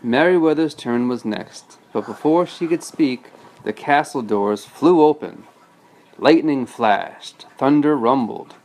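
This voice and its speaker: A man's voice: mid-range voice, slow, a little mellow, poor audio. A man reading a storybook aloud as a father, patiently breaking off to explain things casually to a child.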